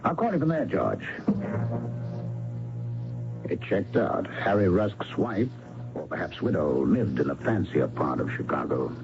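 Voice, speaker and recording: An actor playing a polite, persistent investigator with tactful, probing delivery in an old-time radio drama. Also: male voice, sits low, big pitch swings, a little slow, long pauses, music in the background, full character voice. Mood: businesslike, then brooding narration. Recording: dull, noisy old radio recording.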